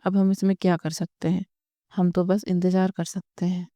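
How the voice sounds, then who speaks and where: A woman voicing a calm, resigned mother and elder daughter-in-law, measured and gentle, in a cartoon family story. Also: fairly low pitch, dry recording, clean studio sound, lightly acted. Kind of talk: Hindi cartoon story dialogue.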